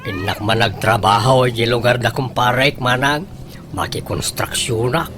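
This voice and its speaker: A man's voice: mid-range voice, fairly even intonation, normal speed, noisy background, lightly acted. An actor playing an apologetic, pleading husband and father in an Ilocano comedy radio drama. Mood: earnest.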